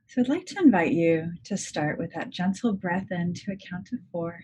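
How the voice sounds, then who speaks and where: A woman leading a guided meditation as a psychologist, speaking in a gentle, measured, soothing manner with deliberate pauses. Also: lowish voice, expressive, a little hiss or hum. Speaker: clinical psychologist guiding a meditation. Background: music.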